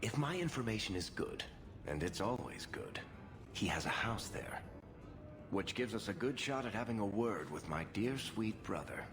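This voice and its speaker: A man's voice: on the low side, very animated, normal speed, background noise. An actor playing a sardonic, roguish male dwarf in a video game, speaking in a cool, confiding way. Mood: sardonic, bitter.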